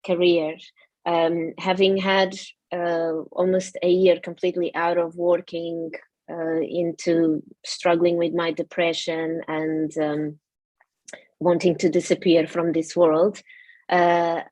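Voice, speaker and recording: A woman's voice: fairly low pitch, level tone, takes it slowly, mellow, no echo. A woman from Portugal with a Portuguese accent, a yoga studio owner telling her story in an earnest, reflective way with many fillers. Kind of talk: interview.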